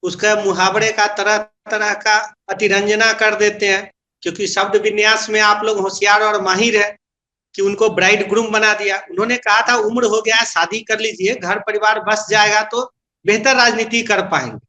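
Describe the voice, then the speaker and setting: A man's voice: sits high, steady tone, moderate pace, bright, forceful tone. A man speaking as a political interviewee, explaining and arguing his points and slipping into English phrases. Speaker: political interviewee, party spokesman. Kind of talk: political interview, opinion and anecdote.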